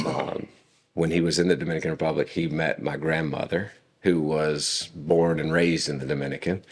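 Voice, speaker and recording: Very deep-voiced man telling stories. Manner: relaxed storytelling with frequent fillers. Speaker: podcast guest. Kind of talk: casual conversation.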